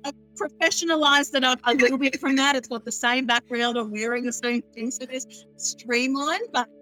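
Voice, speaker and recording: A woman guest on a podcast, chatting casually in a smooth, high-pitched voice and cackling as she laughs. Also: steady tone, moderate pace, sharp tone, faint music behind, poor audio. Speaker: astrologer guest. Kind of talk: casual interview chat.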